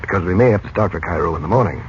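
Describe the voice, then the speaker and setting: An actor playing a calm, protective middle-aged uncle in a measured, authoritative 1940s radio serial style. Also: male voice, low voice. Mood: probing. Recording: dull lo-fi radio recording with noise.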